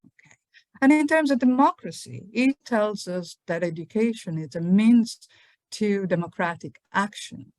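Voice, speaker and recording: A woman academic giving a webinar lecture on a video call, thoughtful and measured, often pausing with fillers and correcting herself. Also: medium pitch, lively intonation, a little mellow, no room sound.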